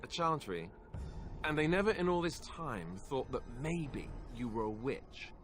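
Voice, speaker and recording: A man's voice: medium pitch, very animated, measured pace, a little hiss or hum. A man playing a wry, easygoing young adventurer in a video game, with a casual delivery. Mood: teasing.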